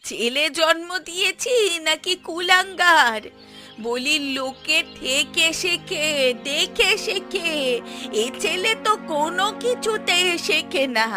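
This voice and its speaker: Woman doing a scolding cartoon housewife, real high n nagging, drags her words out, faint music behind. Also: expressive, takes it slowly, bright, forceful tone, full character voice. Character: scolding, sharp-tongued Bengali mother and housewife. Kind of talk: cartoon voice acting.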